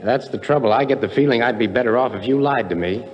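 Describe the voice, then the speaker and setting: An actor playing a tough, wary American adventurer in a 1950s radio drama, with a terse, hard-boiled delivery. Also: male voice, medium pitch, fast talker, lo-fi sound, natural voice. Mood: suspicious, afraid. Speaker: actor and first-person narrator in a radio drama. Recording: vintage 1950s radio drama, music behind.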